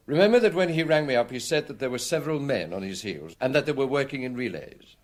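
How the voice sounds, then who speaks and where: An actor playing a shrewd, self-assured male detective inspector in a British radio crime drama, speaking assertively and deliberately. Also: mid-range voice, expressive, close to an everyday voice. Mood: assured, explanatory.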